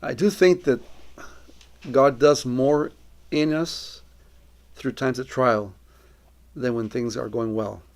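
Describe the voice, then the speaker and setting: Creaky-voiced middle-aged man giving personal testimony. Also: mid-range voice, slow pace. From Colombia. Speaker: missionary.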